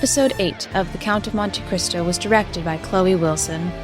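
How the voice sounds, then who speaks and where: A woman's voice: on the low side, moderate pace. A woman reading scripted credits as an announcer. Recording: music bed under voice. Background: music.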